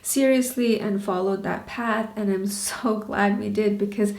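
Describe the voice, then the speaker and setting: A woman's voice: medium pitch, fairly even intonation, a little slow, darkish tone, reverberant. A woman in her thirties from Bangladesh and Canada, talking candidly in a personal vlog, earnest and questioning with small laughs. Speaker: vlogger.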